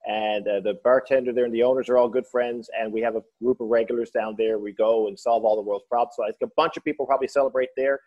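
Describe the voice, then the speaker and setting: A man chats as a guest, a comedian and author with a joking, storytelling way of talking. His pitch sits in an ordinary middle range. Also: fairly even intonation, fast talker, few pauses, dull audio. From Newfoundland. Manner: joking storyteller, slips into voices. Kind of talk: casual interview.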